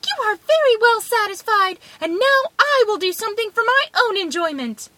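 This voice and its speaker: A woman's voice: very high voice, expressive, moderate pace, sharp tone, no echo, pitched above the performer's own voice, exaggerated voice. A woman voicing a tiny, plucky, cheeky boy hero in a storybook tale, piping and exclamatory. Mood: defiant.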